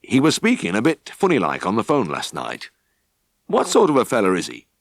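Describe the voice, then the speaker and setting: A man's voice: fairly low pitch, huge pitch swings, creaky, dry recording, clean studio sound, voice pushed up, heavily acted. A man voicing a big, stern, blunt farmer with a Yorkshire accent in an audiobook story. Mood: stern, probing. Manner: blunt, measured.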